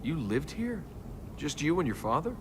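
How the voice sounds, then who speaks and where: A man's voice: fairly high pitch, sing-song, moderate pace. An actor playing a flippant, sardonic young male adventurer in a video game, talking casually. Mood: wry, casual. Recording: clear background noise.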